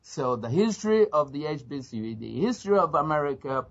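A man from Africa giving reflective interview answers as an educator, with deliberate, emphatic phrasing. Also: fairly high pitch, expressive. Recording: dull, lo-fi interview recording.

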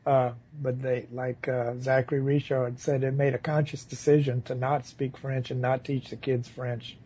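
An American man from southern Louisiana, speaking as an interviewee in a casual, halting, rambling and informal way. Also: mid-range voice, mellow, a little hiss or hum. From southern Louisiana, United States. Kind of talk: casual spoken recollection. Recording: slightly dull, lo-fi interview recording.

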